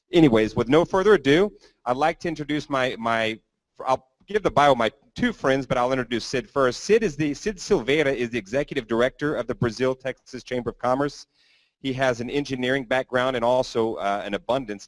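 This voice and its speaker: A man's voice: mid-range voice, dry recording, poor audio. A man hosting a business conference, introducing speakers in a loose, rambling way with some fillers. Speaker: event host.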